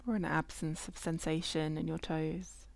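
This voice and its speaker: A woman leading a guided body scan meditation in a gentle, unhurried way, leaving long pauses between phrases. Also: low-pitched, flat delivery, gravelly, no room sound.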